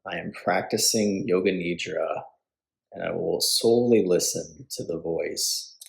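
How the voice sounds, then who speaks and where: A man leading a guided yoga nidra meditation as instructor, speaking calmly and deliberately with pauses between phrases. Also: low-pitched, creaky.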